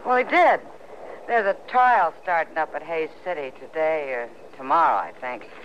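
A woman's voice: deep voice, animated, moderate pace. An actress playing a wry, plain-spoken, worldly frontier woman in an old-time radio western. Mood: wry, chatty. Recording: hissy, dull old radio recording.

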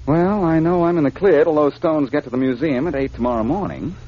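An actor playing a cool, wisecracking, unflappable adventurer hero in a 1940s radio drama, with a breezy, wry delivery. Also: male voice, fairly high pitch, a little fast, lightly acted. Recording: muffled 1940s radio recording.

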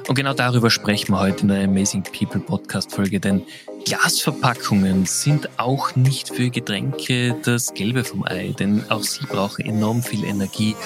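A man's voice: fairly low pitch, normal speed, over music. Upbeat male podcast host. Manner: enthusiastic, chatty questioning. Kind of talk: podcast intro and interview.

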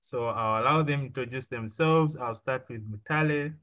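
A man's voice: medium pitch, normal speed, fairly bright tone. A man hosting a podcast, introducing guests and asking questions in an upbeat, repetitive way. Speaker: podcast host.

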